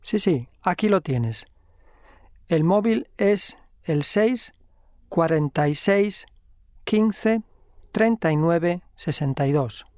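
A friendly young man in a learner dialogue. He speaks slowly and deliberately, and his words are clear. The studio sound is dry and close. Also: sits high, expressive, darkish tone, no room sound. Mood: helpful. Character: friendly young man, helpful hotel worker. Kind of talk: slow scripted dialogue for learners.

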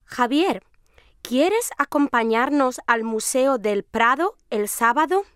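A woman's voice: high voice, expressive, takes it slowly, fairly bright tone, clean tone, no room sound, studio quality, heavily acted. A woman playing a friendly young woman in a scripted language-lesson dialogue, speaking carefully. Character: friendly young woman from Madrid, aged nineteen.

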